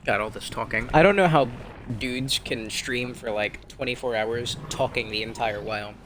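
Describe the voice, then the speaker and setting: Young man, streamer, bright voice, faint hum behind, wry rambling commentary. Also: medium pitch, normal speed. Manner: rambling, wry, self-deprecating chatter. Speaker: game streamer. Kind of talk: casual commentary over gameplay.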